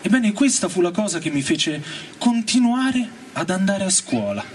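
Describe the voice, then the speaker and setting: A man reading a first-person story aloud in a theatrical, colloquial way, drawing out words for emphasis with pauses. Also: high voice, very animated, slight background noise. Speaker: actor reading a novel aloud. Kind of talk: dramatic first-person literary reading over live music. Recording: distant mic, loud music over voice. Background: loud guitar and organ music.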